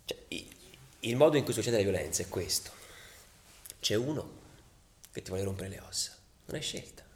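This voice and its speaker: Guy acting a fired-up bitter football ultra, deepish voice, halting street talk, radio play monologue, noisy background. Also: animated, natural voice. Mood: defiant, reasoning. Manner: halting, colloquial, dropping to near-whispers. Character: embittered, passionate left-wing male football ultra. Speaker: radio drama actor. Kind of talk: confessional radio theatre monologue.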